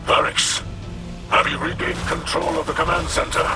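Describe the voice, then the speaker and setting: An actor playing a grave, commanding alien warrior lord in a video game, speaking solemnly and formally in a gravelly voice. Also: male voice, on the high side, level tone, moderate pace, over loud music, natural voice. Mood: stern. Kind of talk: video game character acting.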